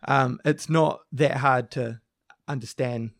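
A man with a croaky, gravelly voice talks at length on a casual podcast, often restarting his sentences. The recording is dry and close, with no room echo. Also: mid-range voice, fairly even intonation, takes it slowly. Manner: talkative, explains at length with many restarts. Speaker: podcast co-host. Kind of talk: casual discussion.

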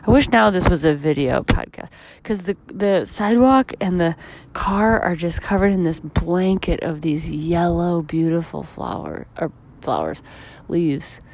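A woman in her thirties talks through her thoughts while out on a walk, meandering and full of fillers. Her voice sits low and a touch husky from tiredness. She sounds like a podcast host thinking aloud. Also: animated, unhurried, hardly any pauses, darkish tone, a little hiss or hum. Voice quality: slightly deep, husky from tiredness. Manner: reflective, rambling, many fillers. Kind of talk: casual solo podcast monologue. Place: walking outdoors on a street.

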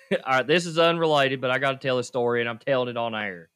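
A man's voice: mid-range voice, fast talker, fairly bright tone, clean tone. A man, co-hosting a podcast and telling self-deprecating stories with laughs. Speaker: podcast co-host. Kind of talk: casual podcast storytelling.

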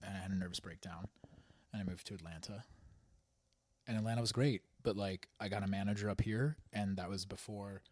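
A man's voice: on the low side, fairly even intonation, brisk, a little mellow. A man in his twenties talking about his acting career in a casual podcast chat, hesitant and full of fillers. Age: 20s. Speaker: actor and podcast guest.

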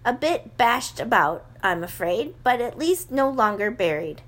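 A gentle minor wizard, read by a woman, calm, genial and reassuring. This is a storybook voice kept close to the reader's own, mild and warm-hearted. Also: a little high, unhurried, exaggerated voice. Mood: wry.

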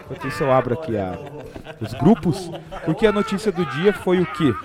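A man's voice: on the high side, big pitch swings, fairly quick. A Brazilian man hosting a casual live football talk show. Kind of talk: casual sports chat on a live stream. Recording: background music behind the voice.

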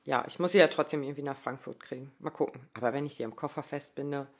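A woman's voice: a bass voice, fairly even intonation, fast talker. Chatty woman rambling about a race. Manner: chatty, rambling, many fillers and asides. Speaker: running podcaster. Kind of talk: casual spoken diary.

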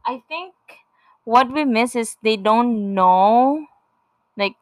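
A woman's voice: a little high, animated, slow pace, no room sound. A young woman from the Philippines with a Filipino accent, co-hosting a casual couple podcast, giggly and repeating words as she starts a thought. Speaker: podcast co-host.

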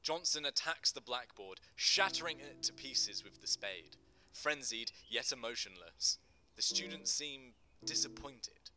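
A man's voice: medium pitch, animated, measured pace, pushed and bright. A male actor giving scripted, dramatic narration of a screenplay in terse stage directions.

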